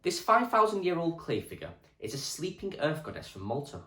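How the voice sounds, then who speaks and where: Lively male teacher reading aloud, echoey room. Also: on the high side, fairly quick. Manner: clear, expressive storytelling delivery for children. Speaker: primary school teacher. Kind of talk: reading a children's history book aloud as a lesson.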